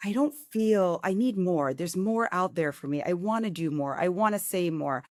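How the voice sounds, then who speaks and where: A woman in her forties with a lowish voice hosts a casual interview. She talks in an effusive way and often restarts mid-sentence. The sound is dry and close. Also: lively intonation, moderate pace, a little mellow, no room sound. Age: 40s. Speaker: podcast host.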